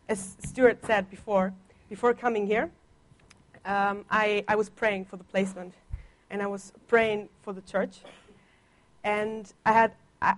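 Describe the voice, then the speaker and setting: A woman's voice: mid-range voice, slight background noise. Young woman giving a church testimony. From Germany. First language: Italian and German. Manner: conversational, playful teasing humor. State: slight cough. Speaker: visiting guest speaker on a church placement. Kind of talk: personal testimony in a church talk.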